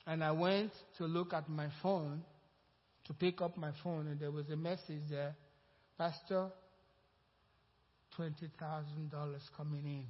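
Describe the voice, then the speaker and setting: Nigerian-accented pastor in an echoing hall. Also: male voice, fairly high pitch, steady tone, unhurried, pauses often. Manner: rambling, conversational, asks the congregation questions. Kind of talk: informal sermon announcement. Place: church sanctuary. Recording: muffled church hall sound with long reverb.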